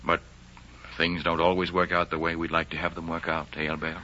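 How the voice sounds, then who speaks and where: Ardent, very deep-voiced radio nobleman. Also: completely flat delivery, brisk, bright, forceful tone, slight background noise. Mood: earnest, lovestruck. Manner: earnest, declamatory, tender. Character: ardent nobleman in love, idealistic and trusting. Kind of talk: 1940s radio romance acting. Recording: muffled 1940s radio recording with music.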